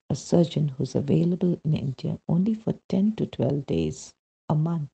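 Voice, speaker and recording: A man narrates a written testimony in a heavily creaky, raspy voice. His delivery is measured, with pauses between phrases, yet his pitch moves in a lively way as he tells the story. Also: low-quality recording. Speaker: reader of a devotional testimony. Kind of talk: scripted narration, read aloud.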